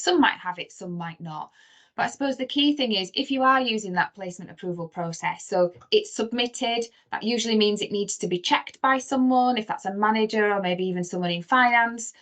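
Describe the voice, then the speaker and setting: A woman working as a software trainer, explaining a process in a conversational webinar style. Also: medium pitch. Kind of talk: webinar presentation, conversational instruction.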